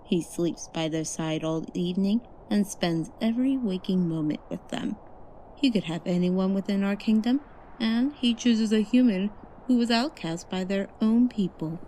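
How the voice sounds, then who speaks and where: A woman's voice: mid-range voice, normal speed, warm tone, a little hiss or hum, natural voice, low-quality recording. A woman voicing a blunt, disdainful elven sister in an ASMR fantasy roleplay. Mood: scornful. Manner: blunt and disdainful, drops into whispers.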